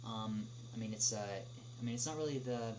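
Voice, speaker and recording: A young man answering questions in a casual livestream chat, speaking haltingly with many drawn-out filler pauses. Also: fairly low pitch. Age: young adult. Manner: halting, hesitant delivery with frequent long filled pauses. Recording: clearly noisy, humming background.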